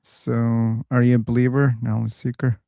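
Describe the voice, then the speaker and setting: A man hosting a casual live online discussion room, chatty and quick to laugh. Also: medium pitch, gravelly, no room sound, clean studio sound. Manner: relaxed, chatty, laughs easily. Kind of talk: casual live-stream chat.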